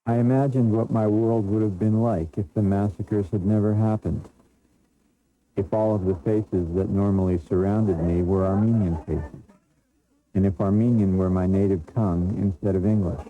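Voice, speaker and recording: Deep-voiced man narrating a documentary. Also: steady tone, normal speed, slight background noise. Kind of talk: scripted first-person documentary narration. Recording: narrow lo-fi sound with faint music. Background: faint music.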